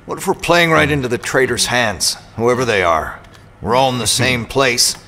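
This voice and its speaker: A man's voice: medium pitch, moderate pace, bright, forceful tone, a little hiss or hum. A man playing an irritable, suspicious mercenary robot pilot in an audio drama, sardonic and confrontational.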